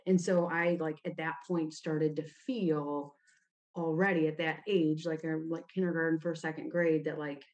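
Lady, pretty low voice, chatting about herself, says like a lot. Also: flat delivery, moderate pace, darkish tone. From United States, grew up in Ohio. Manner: informal, frequent "like" as a verbal habit. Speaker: podcast guest. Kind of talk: conversational personal storytelling in an interview.

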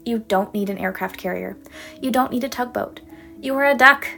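A woman voicing a patient, loving mother duck who speaks in a gently teasing, fond way for a storybook. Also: fairly high pitch, moderate pace, creaky, faint music behind.

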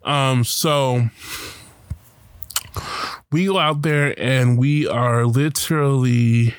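A man telling a personal story about a protest in a casual, halting way, with many fillers and restarts. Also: mid-range voice, smooth, no echo. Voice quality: loud voice.

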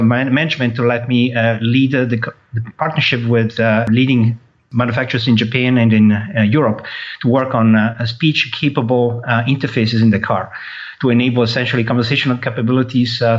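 A man, a tech company founder, speaking at an ordinary pitch as an interview guest. His speech is halting and packed with "uh" fillers. The audio sounds dull and lo-fi. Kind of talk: interview answers. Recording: dull lo-fi line.

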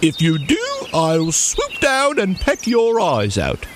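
A man voicing a cross, imperious old owl from a children's fairy tale, with drawn-out hooting and grunting. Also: high-pitched, huge pitch swings, a little hiss or hum, heavily acted. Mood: threatening.

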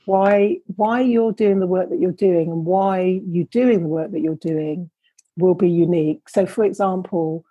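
A woman's voice: lowish voice, a little slow, breathy edge, no room sound, phone-quality sound. A middle-aged woman, an academic guest, speaking thoughtfully and measuredly in conversation, often restarting her sentences. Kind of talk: reflective interview conversation.

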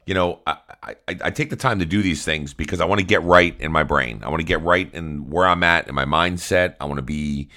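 A man with a very deep voice, hosting his own podcast in a rambling, upbeat monologue. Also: a little fast, hardly any pauses, pushed and bright. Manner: rambling and upbeat, with frequent restarts. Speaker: podcast host. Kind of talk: casual solo podcast monologue.

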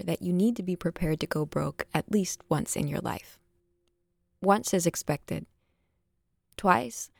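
A woman's voice: low voice, gravelly, darkish tone, no room sound, studio quality. An actress playing a sardonic, streetwise gambler who tells her own story in an audio drama, wry, candid and sweary. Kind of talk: first-person audio drama narration and dialogue.

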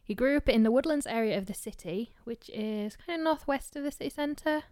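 Blunt woman with a softened Yorkshire accent. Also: fairly high pitch, lively intonation, fairly quick, no room sound. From Yorkshire, England. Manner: blunt, dry and joking, with casual swearing. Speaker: podcast co-host. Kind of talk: casual true-crime chat.

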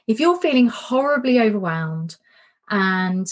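Middle-aged woman coach chatting through a workshop, candid, repeats words, voice a bit hoarse. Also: medium pitch, animated, measured pace. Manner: warm, candid, self-reflective, repeats words for emphasis. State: slightly losing her voice. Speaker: coach and podcast host. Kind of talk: casual spoken workshop talk.

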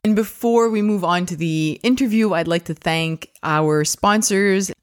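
A woman's voice: on the low side, measured pace. A woman hosting a parenting science podcast, reading the intro and sponsor thanks, then opening the interview with enthusiastic questions. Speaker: podcast host.

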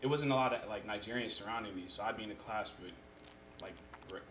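A young American-born man whose first language is Yoruba, telling his story in a relaxed, casual way as a podcast guest. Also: on the low side, fast. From United States. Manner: relaxed storytelling, frequent fillers. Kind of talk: casual conversation. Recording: echoey room with background noise.

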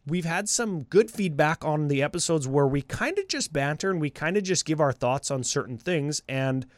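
Man, podcast co-host, slightly raised pitch, scratchy cold voice, dry close sound. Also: normal speed, no room sound. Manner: laughs often, upbeat, trails off. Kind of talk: casual chat.

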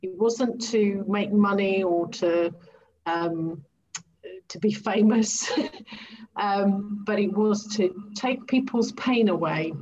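A woman whose first language is Greek, a coach and podcast guest, reflecting thoughtfully on her story and pausing to find her words. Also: medium pitch, a little mellow. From Greece. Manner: reflective, searching for words as she speaks. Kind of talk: podcast interview answers. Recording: dull lo-fi sound with faint hiss.

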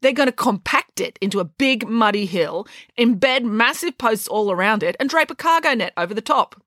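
A woman reading as a blithe schoolboy from a children's storybook. He explains things calmly and matter-of-factly in a raised voice, close and dry. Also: fairly high pitch, normal speed, dry recording, pitched above the performer's own voice. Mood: blithe, unbothered. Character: unbothered, matter-of-fact schoolboy with grand plans.

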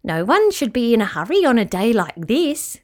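Storybook rabbit, woman performer: proud and opinionated, high-pitched, very animated, haughty yet good-natured. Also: moderate pace. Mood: smug, friendly. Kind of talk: children's storybook character voice.

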